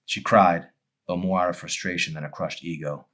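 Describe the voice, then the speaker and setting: A man, an author presenting and reading his own novel, with dramatic, deliberate phrasing. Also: low voice, fairly even intonation, fairly bright tone. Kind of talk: audiobook-style narration with casual introduction.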